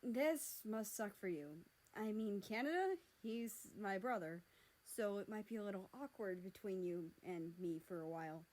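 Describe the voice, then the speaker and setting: A woman's voice: medium pitch, expressive, unhurried, darkish tone, poor audio. A cocky, teasing big brother as a woman reader plays him in a fan fiction story. He talks in a casual, slangy, sarcastic way. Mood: wry. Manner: casual, sarcastic, foul-mouthed.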